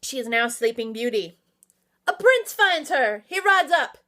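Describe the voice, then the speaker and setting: A woman chatting casually to viewers as a book reviewer, excitable, emphatic and slangy, with theatrical asides and exclamations. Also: high-pitched, measured pace. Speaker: book reviewer and vlog host. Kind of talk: casual, opinionated book recommendation chat to viewers.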